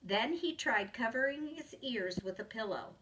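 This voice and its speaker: A woman reading a children's story aloud as a preschool teacher would, with expressive narration. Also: fairly high pitch, moderate pace, recorded from a distance, slight background noise, rough recording.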